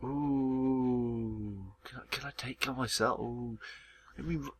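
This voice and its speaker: A man's voice: mid-range voice, takes it slowly, slight background noise. A man hosting a gaming video, casually commenting on a visual novel with plenty of swearing. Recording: music behind the voice.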